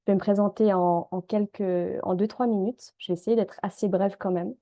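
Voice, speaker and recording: Gentle, mellow-voiced woman. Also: medium pitch, a little fast. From Switzerland. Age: 30s. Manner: gentle, calm, with frequent hesitations. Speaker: energy healer and mindset coach. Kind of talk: live group healing session and guided prayer.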